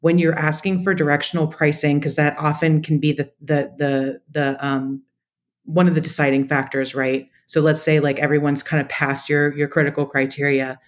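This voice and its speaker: A woman's voice: low voice, level tone, normal speed. A woman speaking as an interview guest, giving conversational, practical advice in a methodical, explanatory way. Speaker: podcast guest, data practitioner.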